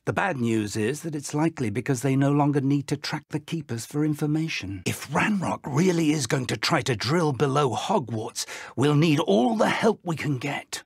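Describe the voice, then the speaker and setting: A man playing a kindly, earnest elderly British wizard professor in a video game, with measured, refined, formal phrasing and a weathered voice. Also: on the high side, gravelly, slightly rough, dry recording, clean studio sound, heavily acted. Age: older. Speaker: game character voice actor. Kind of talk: video game dialogue acting.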